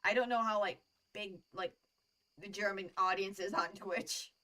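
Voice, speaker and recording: A woman's voice: mid-range voice, fairly even intonation, normal speed, pushed and bright. A woman streaming and chatting casually with a co-host, teasing in a light, giggly way. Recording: voice slightly distant from the mic.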